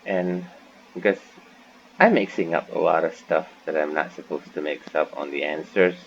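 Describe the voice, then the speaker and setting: A man's voice: very deep voice, normal speed, gravelly, lo-fi sound, slight background noise. A man commenting casually on a game as he plays, musing and thinking aloud. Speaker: gaming channel host.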